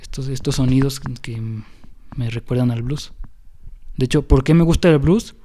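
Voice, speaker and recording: A man's voice: mid-range voice, moderate pace, warm tone, a little hiss or hum. A young man with a Mexican accent, hosting a casual podcast chat about music history and talking in a rambling way with many fillers and restarts. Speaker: podcast host.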